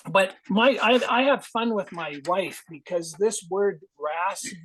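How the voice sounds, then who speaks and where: A man's voice: high-pitched, lively intonation, slow pace, a bit bright, rough recording. A man, giving a musing, digressive grammarian's report at a speaking club. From Canada. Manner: musing, digressive, friendly explainer.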